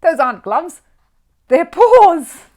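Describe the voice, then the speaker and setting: A woman voicing a polite, earnest young male bear from a children's storybook, easily puzzled and quick to exclaim. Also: extremely high pitch, very animated, darkish tone, pitched above the performer's own voice, full character voice. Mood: indignant.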